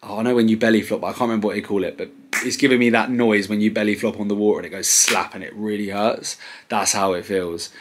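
A young British man in his twenties vlogging casually from his living room sofa, relaxed and rambling with many ums. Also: on the low side, fast, a little hiss or hum. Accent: British English. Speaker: clothing brand owner vlogging. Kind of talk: casual to-camera vlog. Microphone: camera close at sofa level.

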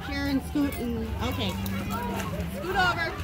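A woman running a kids' game at an outdoor event, giving encouraging instructions loud enough for a group to hear. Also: high-pitched, expressive, measured pace. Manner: encouraging, upbeat, loud to be heard. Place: outdoor park field with a crowd of children. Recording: crowd and outdoor noise, phone camera. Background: crowd of children and outdoor noise.

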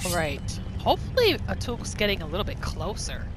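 A woman giving casual, excitable role-play commentary as a gaming video host, often repeating her phrases. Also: fairly low pitch, brisk, very noisy. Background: game music and sound effects.

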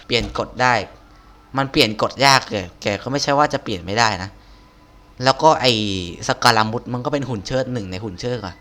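A man's voice: medium pitch, brisk, pushed and bright. A man giving casual playthrough commentary as a gaming video creator, talking to himself in crude slang.

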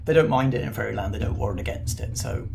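A man's voice: lowish voice, fast, vocal fry, a little mellow, a little hiss or hum, voice pushed down. A man voicing a fussy, polite ghost train conductor who is genteel, rambling and easily offended. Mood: explaining.

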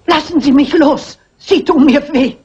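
A woman's voice: high voice, normal speed, a little mellow, close to an everyday voice. Elderly female amateur detective an actress's role: shrewd, composed, polite, precise. Old crime-film dub, muffled sound. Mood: indignant. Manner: polite, precise, matter-of-fact.

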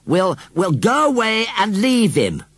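A man's voice: medium pitch, huge pitch swings, a little fast, slightly rough, dry recording, pitched above the performer's own voice, heavily acted. A man voicing an eager, inquisitive young English boy in a storybook, with a questioning, childlike manner. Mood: sensible.

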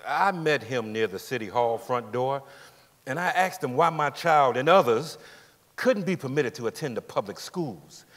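A man's voice: mid-range voice, fairly bright tone. A man playing an aggrieved, steadfast father testifying in a staged courtroom drama reading. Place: large theater stage, indoors. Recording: hall reverb from a theater stage.

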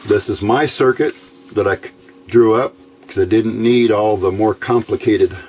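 A man giving an informal, unscripted hands-on tutorial in a plain-spoken, halting way, recorded indoors at a workbench on a camera mic. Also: fairly low pitch, a little mellow, slight background noise. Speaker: host of a hands-on electronics tutorial.

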